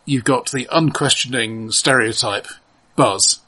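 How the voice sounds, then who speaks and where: A man with a rough, husky voice co-hosts a casual film conversation. He is measured and analytical, often stalling on the first words of a phrase and starting it again before he carries on. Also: mid-range voice, sharp tone. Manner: measured, halting, analytical, repeats opening words. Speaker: podcast co-host.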